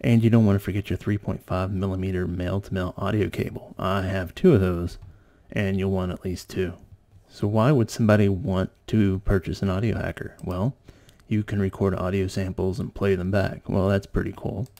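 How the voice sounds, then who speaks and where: Low, creaky-voiced man narrating a tutorial. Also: steady tone, normal speed, mellow. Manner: informal, explanatory. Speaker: host of an electronics tutorial channel.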